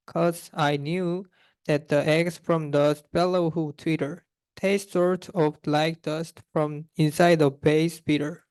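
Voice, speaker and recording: A man's voice: on the high side, darkish tone, clean tone, dry recording. A young man, a university student, reading a rhyming children's story aloud. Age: young adult.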